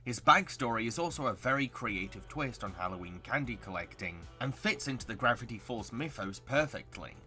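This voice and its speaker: A man's voice: medium pitch, animated, a little fast, few pauses, pushed and bright, soft background music. A man reading scripted video essay narration as the host.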